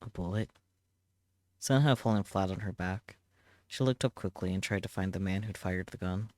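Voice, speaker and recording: A man's voice: sits low, normal speed, darkish tone, dry recording. A man reading a visual novel aloud on a stream as dramatic story narration.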